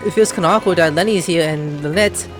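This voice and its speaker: A woman's voice: fairly low pitch, fast, over music. A woman giving casual gameplay commentary, thinking aloud in a playful, exclamatory way. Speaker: gaming video host.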